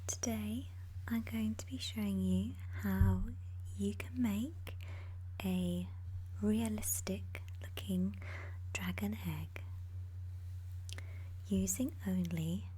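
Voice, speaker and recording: A woman gives ASMR craft instructions in a gentle, breathy whisper, pausing unhurriedly between short phrases. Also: mid-range voice, fairly even intonation, low-quality recording. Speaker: ASMR craft tutorial host.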